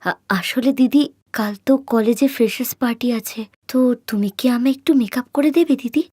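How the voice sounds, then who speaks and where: A woman's voice: on the high side, fairly quick, a little mellow, slightly rough, dry recording, clean studio sound, voice pushed down. A woman voicing a timid, hurt orphaned college girl in a cartoon story, speaking in a meek, pleading way.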